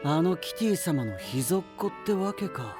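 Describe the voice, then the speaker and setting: A man's voice: a little high, sing-song, moderate pace, music in the background. A male actor playing a boyish star knight, casual, blunt and self-assured, in an anime audio drama. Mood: cocky, relaxed. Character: easygoing, boyish star knight, cocky and sweet-toothed.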